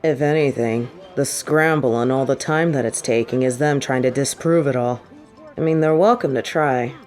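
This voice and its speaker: A woman's voice: very deep voice, darkish tone, soft background music. A woman playing a sardonic, vengeful supervillain with a tender side for her partner, in an intimate audio roleplay. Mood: dark satisfaction. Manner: teasing, sighing, halting when confessing.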